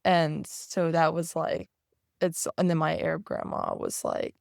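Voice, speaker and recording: A podcast guest telling a personal story in a thoughtful, halting way, full of fillers and restarts. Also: medium pitch, vocal fry, dry recording, studio quality.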